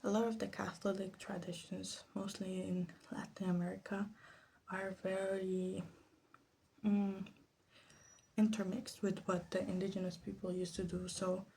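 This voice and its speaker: A soft-spoken woman giving casual, rambling ASMR commentary, hesitant and drifting into whispers. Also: medium pitch, level tone, a little slow, gravelly, slight background noise. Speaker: ASMR creator making perfume. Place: small room at home.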